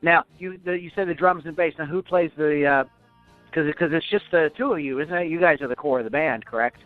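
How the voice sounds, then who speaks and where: A man's voice: fairly high pitch, a little fast, dry recording, soft background music. Man host on a tinny dry line. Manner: questioning, hesitant with fillers. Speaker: podcast host. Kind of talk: interviewing. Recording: tinny phone-like dry sound.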